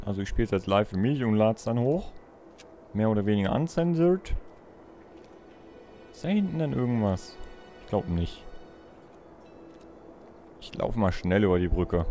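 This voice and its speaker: A man hosts a let's play in a lowish, mellow voice. He thinks aloud casually and playfully as he explores. Faint game music sits behind him. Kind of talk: casual gameplay commentary.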